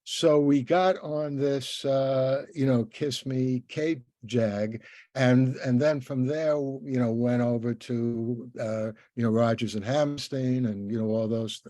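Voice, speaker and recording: An older man hosting a casual interview, talking in a halting, rambling way with many restarts. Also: medium pitch, steady tone, warm tone, no echo. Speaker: interviewer, podcast host.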